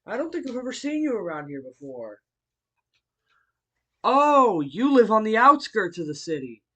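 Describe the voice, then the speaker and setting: A man voicing a friendly, bookish martial-arts hero and teacher in a fan audio roleplay, speaking politely to the listener with hesitant pauses. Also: high-pitched, sing-song, sharp tone, heavily acted. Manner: polite, chuckling, hesitant pauses between phrases.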